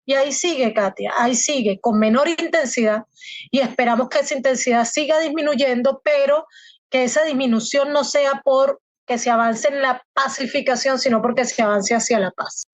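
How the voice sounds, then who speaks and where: A woman's voice: high-pitched, normal speed, dry recording. A woman born in Colombia and raised in Venezuela, giving impassioned, emphatic interview answers full of rhetorical questions. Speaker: guest analyst.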